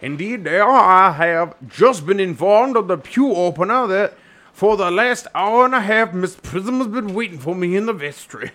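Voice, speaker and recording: Fussy, pious old parson played by a man, highish voice, put-on accent, grandiose delivery. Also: lively intonation, normal speed, sharp tone. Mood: prim, formal. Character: fussy pious old country clergyman, ornately formal.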